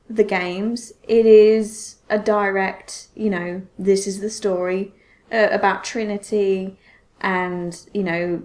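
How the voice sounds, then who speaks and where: A woman hosting a podcast in casual chat, telling things expressively with frequent self-interruptions and exclamations. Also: mid-range voice. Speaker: podcast host.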